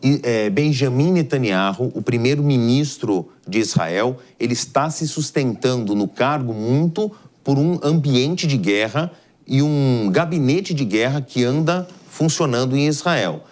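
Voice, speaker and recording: A man's voice: mid-range voice, animated, a little slow, darkish tone. A man with a Brazilian accent giving live explanatory news commentary as an analyst, in a didactic, emphatic way.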